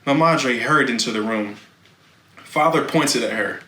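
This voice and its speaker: A man narrating a children's novel in a deliberate, dramatic, expressive reading style. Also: mid-range voice. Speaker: storybook reader. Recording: echoey room, faint background noise.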